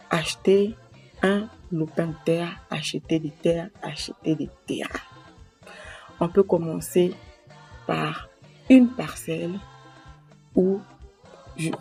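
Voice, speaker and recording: A woman from Congo-Brazzaville, talking to camera in a slow, earnest way to explain her advice and quoting proverbs. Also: lowish voice, vocal fry, dark tone, husky. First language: a Congolese language. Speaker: association president giving advice.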